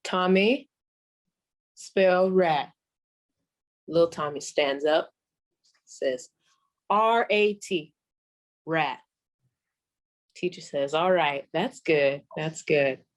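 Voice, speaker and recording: A woman playing a sassy old 1940s radio comic, telling stand-up stories in a folksy, deadpan way with drawn-out pauses. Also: low-pitched. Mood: wry storytelling. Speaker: comedian performing a monologue.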